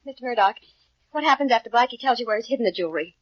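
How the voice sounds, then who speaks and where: An actress playing a hero's spirited, anxious, loyal girlfriend in a 1940s radio crime drama, quick and wry. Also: a little high, animated. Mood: tense, wry. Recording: dull, noisy old radio recording.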